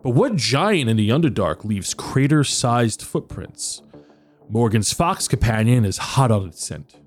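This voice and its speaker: A man serving as dungeon master, his pitch rising and falling a lot as he talks. He laughs easily while chatting with his players. Then he shifts into narrating short fantasy scenes. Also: unhurried. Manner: laughs often, animated. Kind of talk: closing a tabletop game session, then narrating supporter stories.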